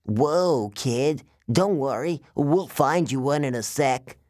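Audiobook giant, male monster, voiced by a narrator: folksy drawling salesman, genial menace, unhurried, dry close sound. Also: mid-range voice, animated, darkish tone, dry recording, pitched above the performer's own voice. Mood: cheerfully menacing. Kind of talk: audiobook character voice.

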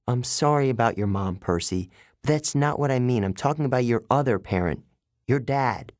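A man voicing a smart, confident girl camper who explains things earnestly, in a higher voice than his own. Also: expressive, moderate pace, darkish tone, no echo, studio quality, lightly acted.

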